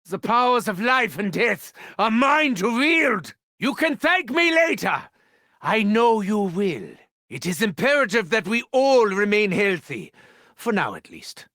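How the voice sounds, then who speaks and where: An actor playing a gleefully sadistic German mad scientist villain in a video game, theatrical and grandiose, with a German accent and mocking, formal phrasing. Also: male voice, high-pitched, sing-song, unhurried, bright, forceful tone, dry recording, clean studio sound, full character voice. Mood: manic, gleeful. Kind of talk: video game character voice lines.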